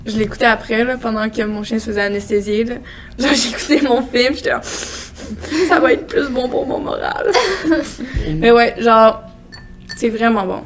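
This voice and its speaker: A woman's voice: a little high, moderate pace, a crowd in the background. Jokey Québécois teen girl co-host. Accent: Québécois French. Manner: loose and jokey, with fillers like "genre" and "tu sais". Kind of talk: casual podcast chat.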